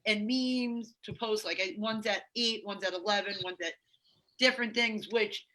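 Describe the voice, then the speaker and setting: A woman's voice: mid-range voice, steady tone, moderate pace, bright, forceful tone, rough recording. A woman co-hosting a live stream, chatty and reading out viewer comments. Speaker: co-host. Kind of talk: casual live-stream chat.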